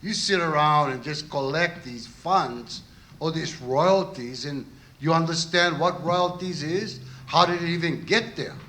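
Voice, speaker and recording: A man's voice: a little high, a little slow, sharp tone. A man in his sixties with a local Hawaiian accent giving impassioned, confrontational public testimony, full of blunt rhetorical questions. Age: 60s. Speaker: activist testifying at a public meeting.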